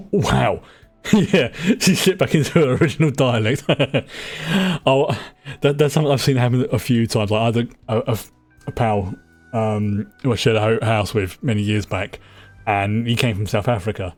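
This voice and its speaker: A man's voice: mid-range voice, moderate pace, faint music behind. A man giving casual commentary while streaming a game live. He laughs often and trails off into restarts. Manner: reads on-screen dialogue aloud.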